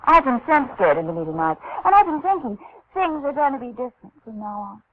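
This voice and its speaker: An actress playing a cool, flirtatious, knowing femme fatale in 1940s radio noir style. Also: female voice, high-pitched, very animated, brisk, slight background noise. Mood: teasing, resolute. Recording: thin, phone-like old radio broadcast.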